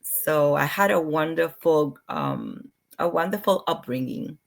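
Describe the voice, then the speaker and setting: Middle-aged woman from Puerto Rico, low register, soft-spoken interview guest. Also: huge pitch swings, a little slow, vocal fry. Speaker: clinical psychologist guest. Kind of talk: interview conversation.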